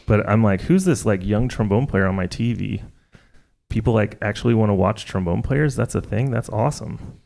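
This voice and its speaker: American co-host, gravelly low-pitched voice, chatty and playful. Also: male voice, a little mellow. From United States. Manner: chatty, joking, quick asides. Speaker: podcast co-host. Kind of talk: live podcast interview. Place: jazz club before live audience.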